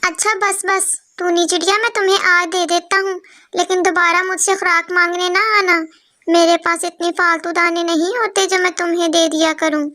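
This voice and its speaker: A woman's voice: very high-pitched, little pitch movement, moderate pace, bright, forceful tone. A woman voicing a grudging, fed-up male crow in a cartoon story, scolding and reluctant.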